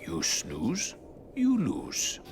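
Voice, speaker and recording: Casting: elderly sage mentor, unhurried, widely swooping pitch, game cartoon. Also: male voice, huge pitch swings, exaggerated voice. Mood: calm, wise. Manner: measured, sage-like. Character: wise old mutant master, fatherly mentor. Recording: music behind.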